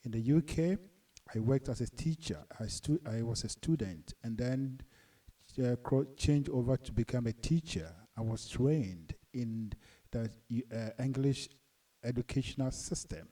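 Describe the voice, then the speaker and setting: A middle-aged Ghanaian man lectures his viewers in a deliberate, earnest way, pressing hard on his key points. His voice is very dark and mellow, and he sits a bit back from the microphone. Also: medium pitch, far from the mic. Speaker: channel host and commentator. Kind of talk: lecturing monologue.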